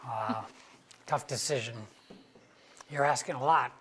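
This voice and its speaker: A woman's voice: rumbling low, measured pace, a little hiss or hum. A woman reading the part of a wry, self-deprecating sixty-year-old husband in a staged comedy. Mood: wry, grateful. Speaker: actor in a staged play reading. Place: museum auditorium stage.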